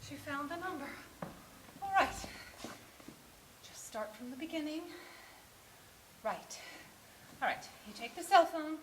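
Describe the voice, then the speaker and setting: Woman acting a nervous lovelorn mistress, high voice, wildly swinging intonation, flustered, self-scolding, stage monologue. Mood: self-scolding, excited. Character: anxious, clumsy, lovelorn mistress rehearsing a dreaded phone call. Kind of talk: theatrical solo monologue. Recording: echoey room with faint noise.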